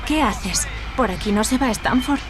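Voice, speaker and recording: An actress playing a wary, frightened young hitchhiker in a radio drama. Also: female voice, fairly high pitch, normal speed, over music, close to an everyday voice. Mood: wary, alarmed. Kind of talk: Spanish radio drama acting.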